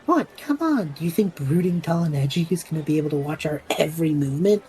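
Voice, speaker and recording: A woman's voice: low-pitched, expressive, quick pace, dark tone, a little hiss or hum. A woman voicing a cocky, flippant young male student adventurer in an audio drama, breezy, sarcastic and slangy.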